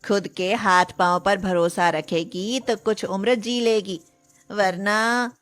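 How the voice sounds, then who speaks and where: A woman's voice: medium pitch, steady tone, takes it slowly, fairly bright tone, voice pushed down. A woman voicing a disapproving but consoling middle-aged village neighbour woman in a cartoon story.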